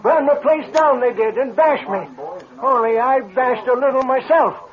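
An actor playing a feisty, folksy old-timer in an old radio western. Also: male voice, extremely high pitch, normal speed. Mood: feisty, boastful. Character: feisty, scrappy old-timer Scotsman in the Old West. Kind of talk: 1940s-50s radio western acting. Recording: thin, narrow-band old radio broadcast.

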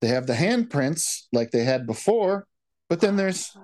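A man co-hosts a casual podcast, recapping a film scene by scene. His pitch swings widely as he talks, and he keeps restarting his sentences. The sound is dry and close. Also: sing-song, a little slow, a little mellow, dry recording. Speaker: podcast co-host. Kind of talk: casual movie recap chat.

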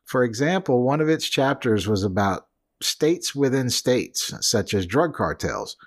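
A man's voice: medium pitch, very animated, measured pace. A man co-hosting a podcast in a casual chat, with dry, sardonic asides and hedging remarks. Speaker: podcast co-host.

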